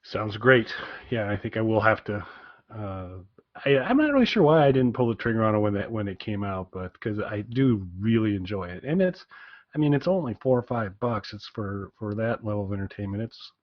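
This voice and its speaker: A man co-hosting a podcast, giving a casual recommendation in a rambling, halting way and often repeating his phrases. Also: lowish voice, expressive, no echo, lo-fi sound. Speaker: podcast co-host.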